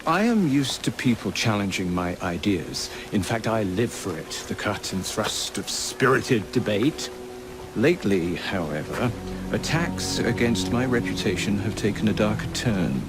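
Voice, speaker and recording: An actor playing a proud, stubborn elderly Victorian scientist in a video game, formal and eloquent, with an English accent. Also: male voice, fairly low pitch, animated, unhurried, music in the background. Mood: troubled, grave. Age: older. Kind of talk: video game cutscene acting.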